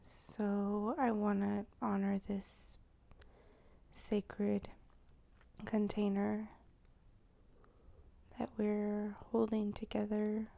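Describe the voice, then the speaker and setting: A woman leading an improvised guided meditation, speaking softly and haltingly with pauses, often close to a whisper. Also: medium pitch, completely flat delivery, gravelly. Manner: slow, hushed, halting delivery with pauses.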